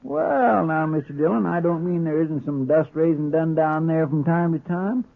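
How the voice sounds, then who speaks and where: An actor playing a mild, deferential deputy with a folksy, rambling Southern US drawl in a 1950s radio western. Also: male voice, fairly high pitch, normal speed, vocal fry, phone-quality sound. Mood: contented, folksy. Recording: noisy, thin vintage 1950s radio recording.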